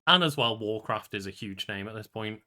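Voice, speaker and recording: A man's voice: on the low side, animated, fairly quick, bright, forceful tone. A man talking casually as the co-host of a podcast, in loose conversational speech.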